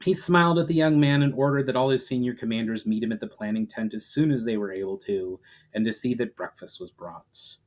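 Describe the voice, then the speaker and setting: A man reading fantasy fiction aloud as a dramatic, measured narration. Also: mid-range voice, a little mellow.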